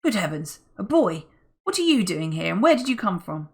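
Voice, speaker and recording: A woman reading a menacing armed male criminal in an audiobook, loud and threatening. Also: lowish voice, fairly even intonation, brisk, creaky. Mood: gruff.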